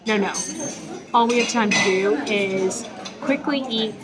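Chatty woman, slightly hoarse voice. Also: medium pitch, measured pace. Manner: chatty, explaining step by step. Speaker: travel vlogger. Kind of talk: casual travel vlog. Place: airport lounge. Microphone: phone at arm's length. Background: clinking dishes and chatter.

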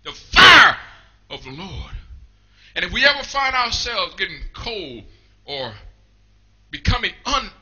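A middle-aged man preaching a fiery revival sermon in a small church, shouting in rhythmic, repeating calls. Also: high-pitched, big pitch swings, a little slow, long pauses, lo-fi sound.

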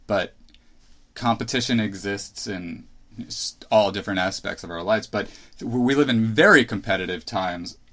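A man's voice: fairly low pitch, normal speed, bright, forceful tone. A man in his thirties hosting a solo podcast, thinking aloud with restarts and asides as he gives his opinions. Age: 30s. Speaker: podcast host.

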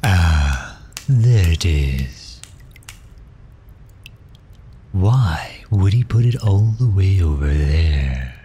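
A man's voice: deep voice, animated, takes it slowly, dark tone, slight background noise. A man playing a refined, courteous villain and inventor in an intimate audio roleplay, speaking formally and solicitously, as if musing aloud. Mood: relaxed, musing. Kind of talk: intimate audio roleplay, ASMR-style acting.

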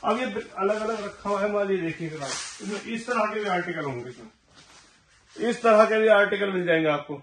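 A man in his thirties talks through his shoe stock in a high voice, keeping up a steady seller's patter. He stands some way from the mic, and the bare warehouse around him rings with echo. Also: moderate pace. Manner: pitching stock, repeating "thik hai ji". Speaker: shoe wholesaler. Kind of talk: sales pitch to camera. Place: large tiled warehouse stacked with shoe boxes. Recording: echoey warehouse, mic at a distance.

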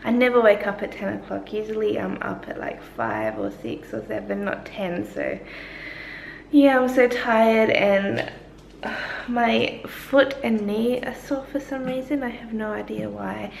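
A young woman with a worn, croaky voice rambles through her day to the camera, laughing often. Her voice has a gravelly edge. She is filmed at arm's length in a roomy kitchen, with faint ambient noise behind her. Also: medium pitch, expressive, measured pace. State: croaky, tired voice. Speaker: vlogger. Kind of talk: casual daily vlog. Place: home kitchen. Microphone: handheld camera at arm's length.

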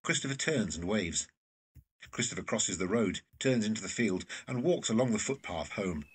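A man's voice: fairly low pitch, lively intonation, normal speed, husky. A man reading scripted stage directions as narrator of an audio drama.

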